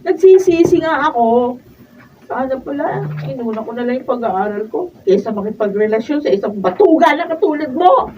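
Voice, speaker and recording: A woman's voice: sits high, animated, moderate pace, warm tone. A Filipino woman playing an exasperated, nagging young wife and mother in a radio drama, recorded in a noisy room. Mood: exasperated scolding. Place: radio drama studio, filmed raw. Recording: muffled, noisy room, distant mic.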